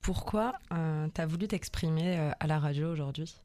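A woman interviewing a guest on the radio, asking gentle questions with small hesitations. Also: deep voice, normal speed, dry recording. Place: radio studio.

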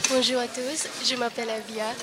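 A woman's voice: fairly high pitch, flat delivery, normal speed. A young woman from the Philippines, introducing herself hesitantly and searching for words. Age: young adult. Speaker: student. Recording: loud crowd noise behind.

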